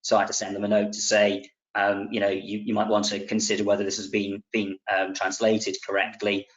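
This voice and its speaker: A man, a committee member on a remote council meeting call, speaking haltingly with many restarts. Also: on the low side, monotone. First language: Welsh speaker. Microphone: video call. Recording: dull lo-fi remote call audio.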